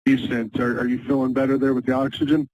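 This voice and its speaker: A man working as an air traffic controller, calmly giving procedural instructions and repeating them over an aviation radio. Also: mid-range voice, fast. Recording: narrow, noisy aviation radio transmission.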